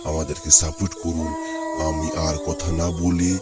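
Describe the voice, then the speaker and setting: A man hosting a horror story channel, addressing listeners in a dramatic, deliberate scripted intro with pauses. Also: very deep voice, level tone. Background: music.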